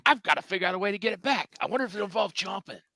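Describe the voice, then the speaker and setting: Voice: mid-range voice, expressive, very fast, creaky, noisy background. A performer at a live comedic table read playing a plucky, earnest cartoon hero with exaggerated delivery. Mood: determined.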